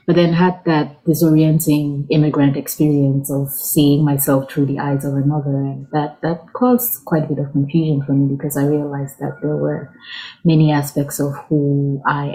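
A woman's voice: sits low, dark tone, poor audio. A woman from Cameroon, speaking thoughtfully as an interview guest over an online call. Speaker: guest, writer and researcher. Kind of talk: conversational interview. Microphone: video call.

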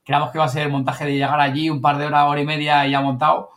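A man from the Spanish-speaking world of Spain, with that accent and a fairly high-pitched voice. He talks in a casual recap style among colleagues, often tagging on little questions to seek agreement. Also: monotone, rapid-fire, fairly bright tone. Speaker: member of an audio fiction team recapping events. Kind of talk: casual group chat recap.

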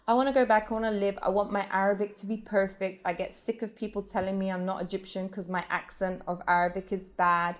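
A woman's voice: medium pitch, little pitch movement, quick pace, hardly any pauses. A woman in her thirties, a professional belly dancer, telling her life story frankly and emphatically in an interview, often restarting her sentences. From England. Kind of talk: candid personal storytelling in an interview.

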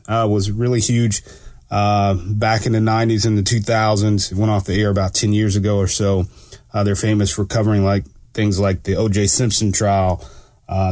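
A man hosting his own radio talk show, sounding a bit stuffy from allergies and talking in a relaxed, rambling way with lots of fillers. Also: lowish voice, no echo, studio quality. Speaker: radio show host and law enforcement analyst. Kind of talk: casual solo radio talk.

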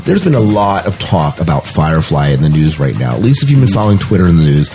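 A man co-hosting a podcast, chatting casually about the news in a husky voice. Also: low voice, big pitch swings, fast, mellow, soft background music. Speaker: podcast host.

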